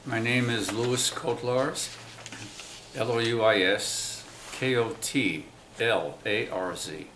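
A man in his nineties, born in Cuba, slowly recalling his family's past in an oral history interview, pausing often. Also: mid-range voice, fairly bright tone, echoey room, slight background noise, low-quality recording. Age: 90s. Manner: slow, halting recollection with many pauses. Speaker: interviewee, WWII navy veteran. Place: museum room, indoors.

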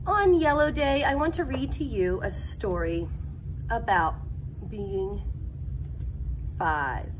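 A middle-aged woman, a teacher reading a picture book aloud to young children with expressive, drawn-out emphasis and explanatory asides. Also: fairly high pitch, background noise. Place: school classroom, indoors.

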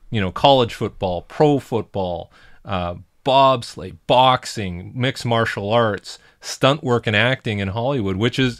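A man's voice: fairly low pitch, dry recording. A middle-aged man hosting an interview podcast in a small studio, talking eagerly and rambling with many restarts. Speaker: podcast host. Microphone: desk mic on boom arm.

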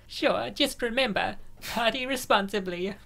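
A woman voicing a reserved, sardonic young cat-girl in a comic dub, dry and measured. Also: a little high, creaky, fairly bright tone, full character voice. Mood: hesitant.

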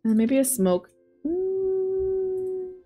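A young woman in her twenties chatting casually while she plays a game on stream, thinking aloud through a headset mic, her voice a bit raspy today. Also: very high voice, lively intonation, very slow, music in the background. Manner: chatty, thinking aloud, soft asides to chat. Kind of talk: casual gameplay commentary.

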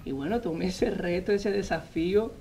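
A man, a magazine editor telling his story as a guest in a TV studio interview, animated and full of "eh" fillers, speaking into a lapel mic. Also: sits high, moderate pace, faint music behind. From Colombia. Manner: animated storytelling, frequent "eh" fillers, gestures while talking. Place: TV news studio set.

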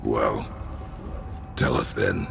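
An actor playing a stern, gruff warrior father in a video game, gravelly and terse. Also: extremely slow.

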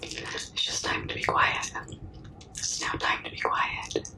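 A young woman whispering very close to the mic in a breathy, soothing, repetitive ASMR comforting roleplay, with soft shushing. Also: takes it slowly. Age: young adult. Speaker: ASMR performer. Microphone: very close to the mouth.

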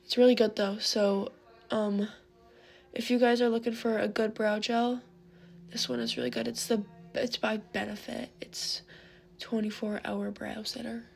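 A young woman in her late teens chatting casually while doing her makeup, rambling and filling her talk with "like", her voice worn and going hoarse. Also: mid-range voice, unhurried. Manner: rambling, heavy use of "like", chatty. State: losing her voice. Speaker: beauty vlogger. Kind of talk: casual get-ready-with-me chat. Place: bedroom at a vanity desk, indoors. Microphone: phone camera close in front.

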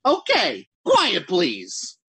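A man's voice: sits high, huge pitch swings, drawn-out words, gravelly, a bit bright, breathy edge, no room sound, full character voice. A man playing a jumpy writer alone at night, rambling and mocking himself in 1940s radio acting style. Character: jittery lone male writer talking to himself.

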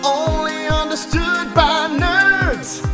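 High female singer over loud pop music. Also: fairly even intonation, slow. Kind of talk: sung pop theme song. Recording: roomy echo on vocals.

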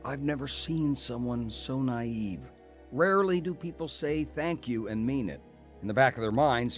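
A man's voice: mid-range voice, lightly acted. An actor playing an arrogant, cynical businessman older brother in a radio drama, lecturing bluntly and condescendingly. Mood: cynical narrating.

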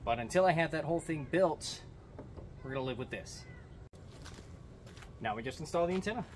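A man's voice: a little high, lively intonation, fairly quick. A young American man giving instructional how-to narration as host of a DIY car channel. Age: young adult. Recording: clear background noise, clicks and jangling.

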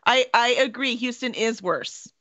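A woman's voice: a little high, unhurried, a bit bright, no echo. A woman from Texas, in her thirties or forties, hosting a casual interview from a small room at home. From Texas, United States. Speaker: interview host. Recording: dull, lo-fi call audio.

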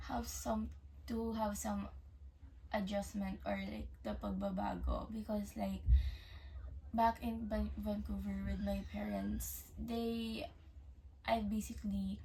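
A young woman from Canada answers questions slowly and with a mellow tone, stopping often to restart her thoughts. She drifts between English and Tagalog. The mic sits at a distance, with a faint noise underneath. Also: medium pitch. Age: young adult. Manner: hesitant, many fillers and restarts, mixes English with Tagalog. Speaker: interviewee, working student. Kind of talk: casual interview answers.

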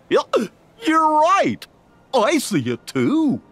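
A man voicing a gentle, friendly cartoon dog with a dopey, hiccupy drawl in a video game. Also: very high voice, huge pitch swings, extremely slow, no room sound, faint music behind, exaggerated voice. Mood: excited. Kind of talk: animated video game acting.

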